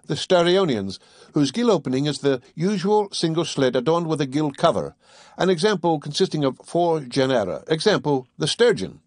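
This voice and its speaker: A man doing a higher-voiced, pedantic manservant. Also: animated, a little mellow, no room sound, clean studio sound, natural voice. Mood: lecturing. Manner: precise, lecturing, drawn-out Latin terms. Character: fussy pedantic male servant, fond of classifying. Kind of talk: audiobook character voice.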